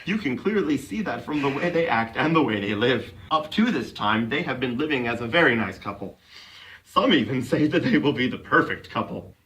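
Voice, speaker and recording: A man's voice: medium pitch, lively intonation, brisk, fairly bright tone, recorded from a distance. A man giving a mock-serious dramatic reading of clumsily written text for comedy. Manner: tends to break into giggles.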